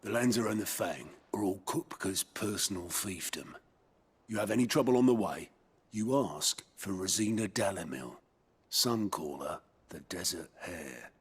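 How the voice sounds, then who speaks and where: An actor playing a gruff, loyal second-in-command in a fantasy game, plain-spoken and very raspy. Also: male voice, low-pitched, expressive, unhurried, heavily acted. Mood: gruff, confiding. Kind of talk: scripted fantasy game dialogue.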